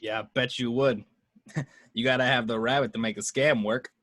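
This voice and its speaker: A man's voice: mid-range voice, fairly even intonation, normal speed, a bit bright, dry recording, close to an everyday voice. Man playing a sardonic hard-boiled detective, close and dry. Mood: sarcastic. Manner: sardonic, gruffly dismissive. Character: cynical, sarcastic hard-boiled male private detective. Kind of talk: amateur table read of a film script.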